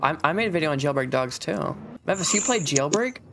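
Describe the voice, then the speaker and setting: A young adult man hosting a gaming video with casual, playful and excitable banter. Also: on the high side, brisk, gravelly, a little hiss or hum. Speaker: gaming vlog host.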